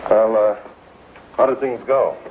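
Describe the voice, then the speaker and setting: A man's voice: on the low side, steady tone, measured pace, lightly acted. An actor playing a wry, easygoing, teasing townsman in a 1950s television western, folksy and drily amused. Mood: interested. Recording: tinny, noisy 1950s television soundtrack.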